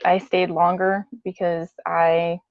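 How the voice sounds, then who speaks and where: A woman's voice: on the low side, flat delivery, slow pace, dry recording. Woman recounting in dull lo-fi audio. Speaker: interview guest. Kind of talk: conversational personal account.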